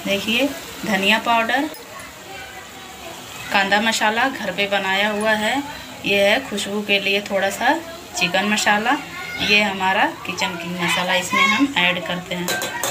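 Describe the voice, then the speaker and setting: A woman talks a home cook through a recipe, calmly walking through each step. Her delivery is slow and patient, and she keeps drawing attention to what is in the pan. Loud sizzling from the pan sits under every word. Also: medium pitch. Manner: patient, repeats "dekhiye" to point things out. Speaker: home cooking channel host. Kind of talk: step-by-step cooking demonstration voice-over. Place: home kitchen over a frying pan. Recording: loud frying noise under the voice. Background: loud sizzling and stirring of a pan.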